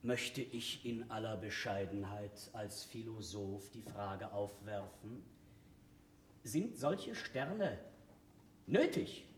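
An actor playing a pompous, condescending, learned court philosopher in a radio drama, with ceremonious delivery. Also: male voice, on the low side, lively intonation, takes it slowly, a little hiss or hum. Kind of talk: theatrical radio drama acting. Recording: echoey room radio play recording.

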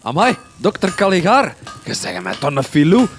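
A hearty Flemish village blacksmith with a fairly high voice. He banters in folksy working-class dialect, in comic radio drama style, over faint music. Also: huge pitch swings, moderate pace. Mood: hearty, chatty.

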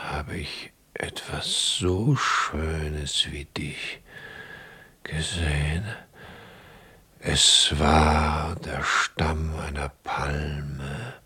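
An actor playing a weary, middle-aged shipwrecked hero in a German radio play, brooding, resigned and melancholy. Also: male voice, sharp tone, full character voice. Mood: dreamy, reverent. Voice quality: very deep, husky. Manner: slow, heavy, brooding delivery.

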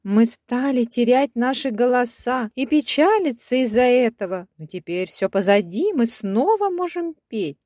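A woman's voice: fairly high pitch, lively intonation, measured pace, few pauses, dark tone, no room sound, studio quality, pitched above the performer's own voice. A woman voicing a gracious elder mermaid in a storybook, solemn and grateful in manner. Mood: solemn gratitude.

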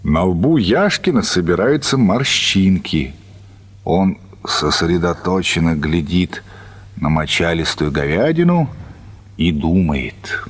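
A man's voice: sits low, big pitch swings, slow, husky. A man reading literary narration as the storyteller of a radio play.

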